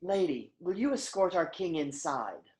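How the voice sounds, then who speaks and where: A woman's voice: lowish voice, big pitch swings, a little slow, a little mellow. A woman playing a loyal, conciliatory counselor to a king in a staged Greek tragedy, speaking with earnest formality. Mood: grave.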